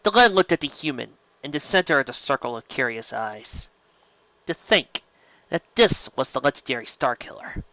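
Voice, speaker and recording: A man's voice: medium pitch, sing-song, normal speed, bright, forceful tone, no echo, lo-fi sound. A man reading science fiction aloud as an amateur audiobook narrator, in a dramatic storytelling style.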